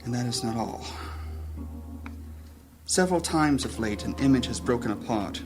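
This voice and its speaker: An actor playing a grave, worried grey mage who leads a guild in a fantasy audio drama, formal and measured in speech. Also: male voice, medium pitch, lively intonation, lightly acted. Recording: music behind the voice.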